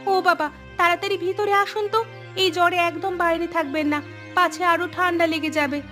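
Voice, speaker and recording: A woman voicing a devoted, gentle young village daughter-in-law in a cartoon, speaking earnestly and respectfully. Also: very high-pitched, fairly even intonation, normal speed, soft background music. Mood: concerned. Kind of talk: Bengali moral-tale cartoon dubbing.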